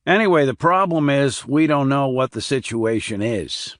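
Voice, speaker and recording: A man's voice: mid-range voice, expressive, normal speed, dry recording, studio quality. A man reading a thriller audiobook as a scripted narration, moving between narrative and dialogue.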